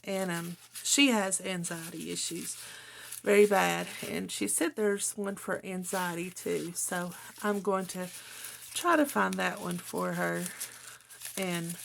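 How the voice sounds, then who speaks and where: Casting: middle-aged woman, craft channel host, slightly hoarse with gravelly fry, unhurried and casual delivery. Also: lowish voice, slight background noise. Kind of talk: casual chat to viewers while journaling, partly reading own notes.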